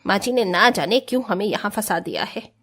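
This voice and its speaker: A woman's voice: medium pitch, lively intonation, brisk, a bit bright. A woman voicing a spoiled, haughty young rich daughter-in-law in a cartoon family drama, whiny and defiant. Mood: whiny complaining. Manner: whiny, complaining.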